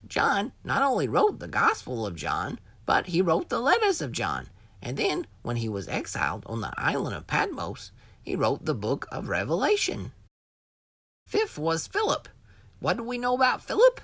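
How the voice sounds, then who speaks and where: A woman with a very low, raspy voice gives scripted educational narration for children. Her intonation swings widely as she teaches in a storytelling manner, recorded dry. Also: wildly swooping pitch, normal speed, fairly bright tone, dry recording.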